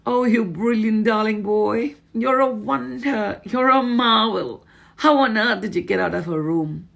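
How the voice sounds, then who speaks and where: A woman voicing an elderly grandmother, calm and affectionate, in a storybook reading. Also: mid-range voice, normal speed, creaky, slightly rough, pitched above the performer's own voice, heavily acted. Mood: tender. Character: tender, cool-headed elderly grandmother.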